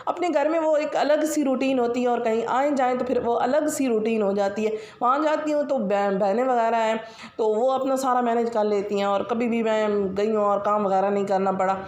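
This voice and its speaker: A woman's voice: fairly high pitch, fairly even intonation, normal speed, darkish tone, echoey room. Chatty Pakistani woman with a sore throat. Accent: Pakistani Punjabi. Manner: chatty, rambling, many fillers. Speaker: vlogger. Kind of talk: casual daily-routine voice-over.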